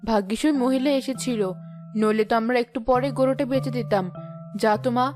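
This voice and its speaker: An actress plays a poor village mother in a cartoon tale. Her voice is fairly high, her way of talking homely, practical and a little anxious. Music runs clearly behind it. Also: steady tone, fairly quick, darkish tone, lightly acted. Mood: relieved. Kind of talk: cartoon story acting.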